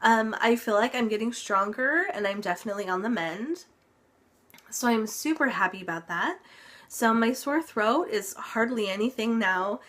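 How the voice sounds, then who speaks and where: A woman's voice: on the high side, moderate pace, a bit bright. A young woman giving a chatty health update vlog, still congested from a cold, with plenty of ums. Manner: chatty, lots of ums, occasional laughs. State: congested, recovering from illness. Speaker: vlogger.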